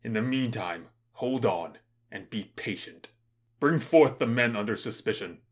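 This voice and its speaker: A man playing a stern, commanding ruler passing judgment with deliberate authority in an audio drama. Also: medium pitch, animated, husky. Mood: grave, commanding. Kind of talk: modernized Shakespeare audio drama acting.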